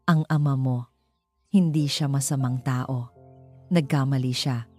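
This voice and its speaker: A woman voicing a sad, loyal old accountant in an audio story, grave, gentle and confiding. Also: extremely low pitch, steady tone, unhurried, faint music behind. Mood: sorrowful.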